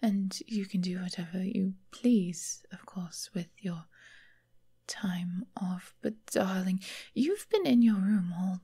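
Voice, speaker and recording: Woman acting as doting vampire mistress, ASMR roleplay, breathy whisper, mellow, unhurried, genteel, tender. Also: medium pitch. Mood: gentle concern. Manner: gentle, unhurried, genteel, affectionate endearments. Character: caring, refined female vampire mistress, long-lived doting lover.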